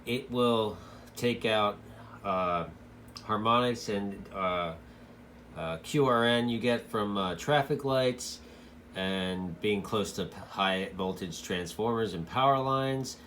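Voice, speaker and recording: A man giving a casual, hands-on product review in a halting way, as a hobbyist. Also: lowish voice, fairly bright tone, slight background noise. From United States.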